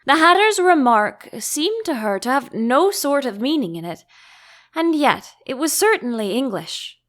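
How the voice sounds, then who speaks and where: Animated, high-voiced woman reading a storybook. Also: measured pace. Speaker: audiobook narrator. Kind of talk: expressive storybook narration.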